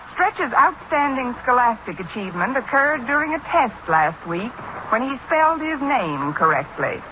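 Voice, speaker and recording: A woman playing a quick-witted, sardonic schoolteacher who acts and narrates in an old radio comedy. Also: on the high side. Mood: wry. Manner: dry, sardonic wisecracking. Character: wry, quick-witted adult female high school teacher. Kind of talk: 1940s-50s radio comedy acting and narration. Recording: muffled old radio recording, loud noise.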